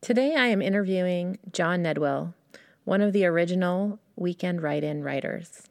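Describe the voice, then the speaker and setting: Low-voiced woman hosting over guitar music. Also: normal speed, dry recording, studio quality. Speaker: podcast host and interviewer. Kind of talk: scripted podcast introduction and interview questions.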